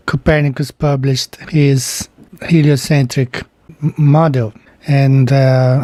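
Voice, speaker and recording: Slow-talking man with mellow voice. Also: on the high side, no echo. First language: Portuguese. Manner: slow, meandering, pointing things out on screen. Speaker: channel host. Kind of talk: casual screen-share explainer.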